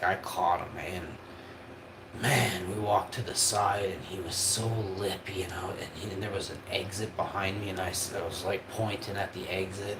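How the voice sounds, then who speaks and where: A man's voice: deep voice, reverberant, background noise. A man, telling a rambling personal story on a livestream in a casual, chatty way. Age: 40s. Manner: casual and chatty, with frequent tag questions and restarts.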